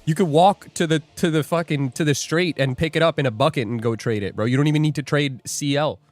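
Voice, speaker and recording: A man's voice: a little high, fast talker, dry recording. Joking young man streaming live, music behind. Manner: joking, rambling, laughs often, reads chat aloud. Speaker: livestream day trader host. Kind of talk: casual livestream chat.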